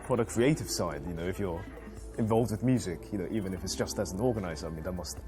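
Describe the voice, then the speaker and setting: A man's voice: deep voice, fast, natural voice. A man playing a young suitor who chats up a woman with friendly, hesitant small talk. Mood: eager. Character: young male suitor, chatty and eager. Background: background music.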